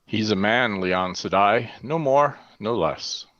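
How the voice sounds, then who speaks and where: Guy doing stoic warrior bodyguard, deep-ish, terse, solemn, dry lo-fi sound. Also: slow pace, fairly bright tone, dry recording. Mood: solemn, calm. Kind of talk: table read of a fantasy screenplay.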